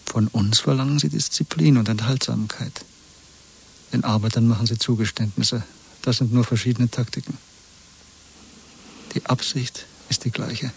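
Weary dissident man in a radio play: husky, breath in the tone, measured, wary and resigned. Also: mid-range voice, steady tone, darkish tone, low-quality recording. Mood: resigned, bitter. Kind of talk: 1970s German radio drama acting.

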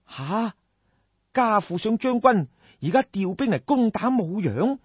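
A man's voice: high voice, very animated, a little slow, husky, no echo, dull audio, voice pushed up, full character voice. A man voicing a naive, polite young nobleman in Cantonese, anxious and full of startled questions.

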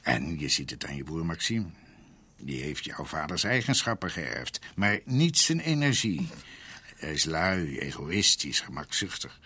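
Deep, husky, ageing scholarly doctor. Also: male voice, big pitch swings, sharp tone, full character voice. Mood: grave, reflective. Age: older. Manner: halting, reflective, searching for words. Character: ageing male doctor, scholarly, earnest, brooding family researcher. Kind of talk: radio drama acting.

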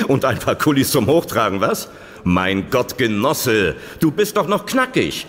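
Brusque, sarcastic clerk, lively-pitched. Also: male voice, unhurried, long reverb tail, slight background noise. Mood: mocking. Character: gruff, sarcastic male housing desk clerk, brusque and mocking. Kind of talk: German radio play acting.

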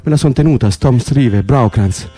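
An actor playing an excitable, wry political prisoner in an Italian radio drama, stammering, repeating himself and swearing. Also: male voice, medium pitch, moderate pace, close to an everyday voice. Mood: wry, earthy. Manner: breathless repetitions, stammering, swearing. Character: excitable male political prisoner, agitated, wry, foul-mouthed. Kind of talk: Italian radio drama acting, conversational and intense.

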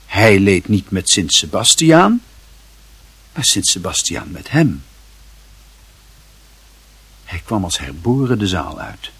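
Lage, zeer hese mannelijke verteller. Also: male voice, low-pitched, very animated, takes it slowly, pauses often, a bit bright, husky. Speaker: narrator. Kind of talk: literary radio play narration.

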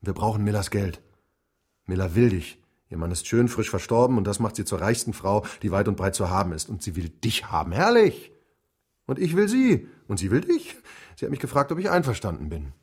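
A man's voice: fairly low pitch, sing-song, brisk, a little mellow, breathy edge, heavily acted. An actor playing a ruthless, cynical middle-aged industrialist father in a radio drama, brusque, mocking and volatile. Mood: brisk, scheming.

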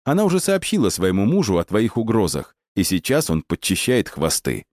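Guy reading as a stern agent type in an audiobook, low voice, bossy, telling someone off. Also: huge pitch swings, moderate pace, darkish tone, no room sound, studio quality. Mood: stern, reproachful. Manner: pointed rhetorical questions, curt orders. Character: stern male operative, commanding, lecturing and reproachful.

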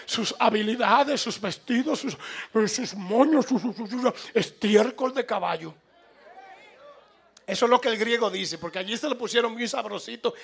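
A man's voice: very high voice, moderate pace, gravelly. A man with a Latin American Spanish accent preaching an impassioned, informal sermon, emphatic and repetitive, prodding his listeners to respond. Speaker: preacher taking questions from congregation.

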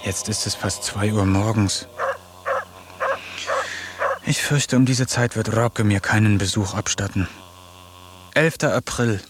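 A man's voice: on the low side, a little slow, pauses often, crowd noise, close to an everyday voice. An actor narrating his diary in a radio drama as its lonely protagonist, in a wry, melancholic way.

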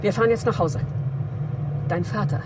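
A woman's voice: mid-range voice, normal speed, close to an everyday voice. An actress playing a stern, disapproving mother in a German radio play. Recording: loud outdoor car and street noise.

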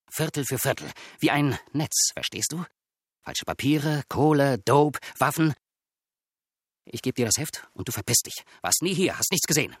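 An actor playing a coarse, bullying small-time crook in a radio drama, husky, slangy and menacing. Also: male voice, mid-range voice, a bit bright, no room sound, studio quality.

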